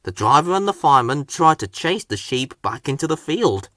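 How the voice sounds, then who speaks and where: Children's story narrator, male, measured pacing, wide lively intonation, bright voice. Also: a little high, no echo, clean studio sound. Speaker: storybook reader.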